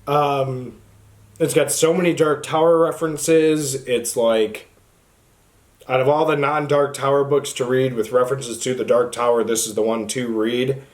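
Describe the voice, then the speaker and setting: A man's voice: mid-range voice, fairly even intonation, unhurried. A man hosting a book channel, talking casually to the camera in an informal, rambling way. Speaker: book review channel host.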